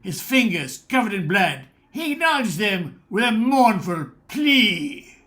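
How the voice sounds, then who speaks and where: Casting: man as radio-drama narrator, higher-register voice with a sharp, bright edge, scripted and dramatic. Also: big pitch swings, measured pace.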